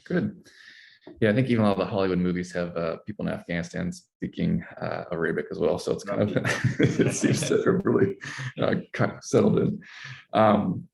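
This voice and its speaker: A man hosting an interview in casual talk, putting his questions in a tentative, softened way. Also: sits low, moderate pace, gravelly. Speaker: interview host.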